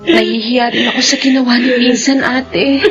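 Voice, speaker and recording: An actress playing an ashamed, apologetic younger cousin in a radio drama. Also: female voice, on the high side, fairly even intonation, moderate pace, lightly acted. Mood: sorrowful, ashamed. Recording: dull studio sound under loud music. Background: loud dramatic music.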